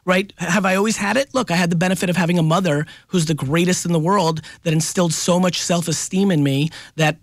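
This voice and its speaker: A man in his forties speaking as a radio guest, emphatic and animated, keeping his points moving with quick "right?" tags. His voice sits a touch higher than most men's. It is recorded close on a studio mic with a very dry, dead sound. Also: no echo. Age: 40s. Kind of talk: casual radio interview. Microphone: studio microphone up close.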